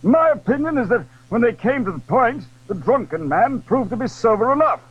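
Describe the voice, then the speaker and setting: Male actor as calm musketeer, resigned to danger, husky high voice, animated intonation, audio drama, muffled old recording. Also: normal speed, full character voice. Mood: judicious. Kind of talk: audio drama acting of a classic adventure novel.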